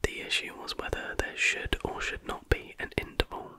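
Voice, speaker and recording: Hushed, fully whispered ASMR reader. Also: moderate pace. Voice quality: unvoiced breathy whisper. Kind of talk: whispered ASMR reading of a history text.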